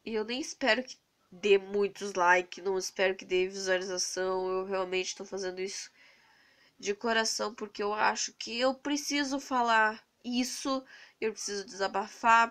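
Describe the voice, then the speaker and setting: Hoarse, stuffy-nosed Brazilian young woman. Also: medium pitch, a bit bright. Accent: Brazilian Portuguese. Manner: earnest, venting, rambling with restarts. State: congested with a cold, hoarse. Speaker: vlogger. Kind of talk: casual vlog rant. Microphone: phone camera held close to the face.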